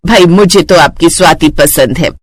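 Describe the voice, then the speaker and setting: A woman's voice: low voice, normal speed, soft background music. A woman voicing a middle-aged, food-loving mother-in-law in a cartoon, bossy yet approving. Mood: approving, directive.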